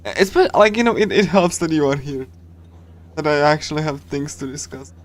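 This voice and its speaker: Casual male livestreamer, higher-toned, reading and commenting on a game in a loose, rambling manner. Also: moderate pace. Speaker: streamer.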